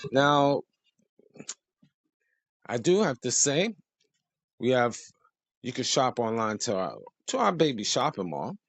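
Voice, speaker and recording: A man hosting his podcast with a slightly hoarse voice, talking casually and repeating contact details in an easygoing, rambling way. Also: medium pitch, sing-song, takes it slowly, pauses often, a bit bright. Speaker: podcast host. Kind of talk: casual podcast talk with sponsor plugs.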